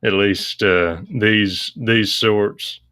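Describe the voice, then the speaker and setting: A man with a very low, clear voice talks as a guest in a casual interview. His tone is bright and crisp with almost no room sound, and he explains things in a hesitant, meandering way. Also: fairly even intonation, very slow, no room sound, clean studio sound. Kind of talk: casual interview chat about visual novels.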